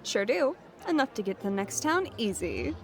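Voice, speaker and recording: Woman acting a brisk, lively-pitched female companion. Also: soft background music. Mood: matter-of-fact.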